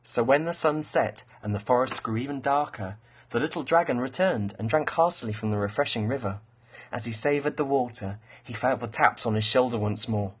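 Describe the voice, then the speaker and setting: Man narrating, slightly husky. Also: mid-range voice, fairly quick. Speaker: author and storyteller. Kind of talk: scripted children's fairy-tale narration.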